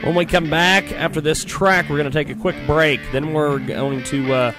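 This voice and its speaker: A man's voice: on the high side, steady tone, normal speed, music in the background. A man hosting a radio show in a casual, conversational style.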